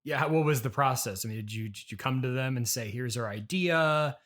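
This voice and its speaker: A man's voice: mid-range voice, a bit bright, lightly acted. Male podcast interviewer, played by an actor, bewildered and polite, hesitant conversational delivery, comedy mock interview. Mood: skeptical. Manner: hesitant, halting, politely skeptical. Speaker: podcast host interviewing guests. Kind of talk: improvised mock interview, casual chat.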